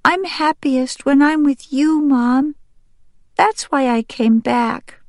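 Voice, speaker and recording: A woman's voice: high voice, slow, dry recording, studio quality, pitched above the performer's own voice. A woman voicing a plaintive young male wild duck who pleads earnestly like a child in an audiobook. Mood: lonely, upset. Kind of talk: audiobook character voice.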